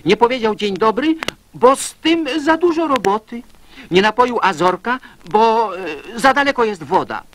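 A man narrating children's verse in an expressive recitation style. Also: high-pitched, moderate pace.

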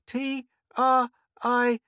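A narrator voicing a bossy, excitable young girl in a story, impatient and earnest. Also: medium pitch, measured pace, no room sound, studio quality, voice pushed up, natural voice.

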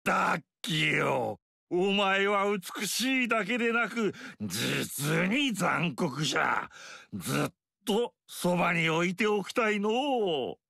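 A gluttonous tyrant warlord booms his boasts in a high, gravelly bark. He lurches slowly through swooping, theatrical lines, his voice husky yet bright and forceful. The dry studio sound keeps every gloat up close. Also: big pitch swings, dry recording, full character voice. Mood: lecherous. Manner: blustering, gloating, hearty guffaws. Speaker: game character voice. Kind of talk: video game voice lines.

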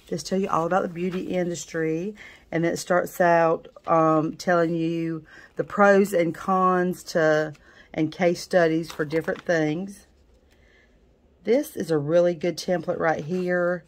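A woman talks through a salon business book in a relaxed, chatty way. Her voice sits on the lower side, and she keeps adding fillers and restarting her sentences as she goes. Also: measured pace. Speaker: nail technician reviewing a book. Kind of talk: casual book review.

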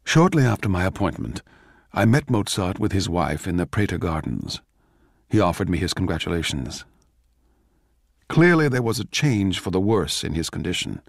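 An actor playing a bitter, ageing court composer, confiding and anguished, in a theatrical, measured radio drama monologue. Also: male voice, sits low, big pitch swings, creaky, dry recording, studio quality, full character voice. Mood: confiding, uneasy. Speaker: actor reading a stage play as narrator.

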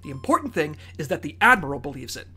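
A woman playing an earnest, excitable secret agent leading a team in a comedy-adventure podcast, with a raspy voice. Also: low voice, fast talker, exaggerated voice.

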